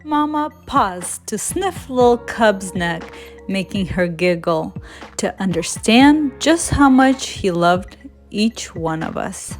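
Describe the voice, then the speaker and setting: A woman reading a children's storybook aloud as storyteller in a gentle, expressive manner. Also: mid-range voice, slow, faint music behind.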